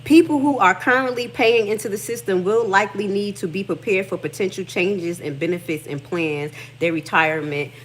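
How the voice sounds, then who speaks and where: A woman's voice: mid-range voice, normal speed, hardly any pauses. A middle-aged Haitian American woman hosting a livestream, talking casually and emphatically. She reads text aloud, comments on it, and swears freely. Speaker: livestream host.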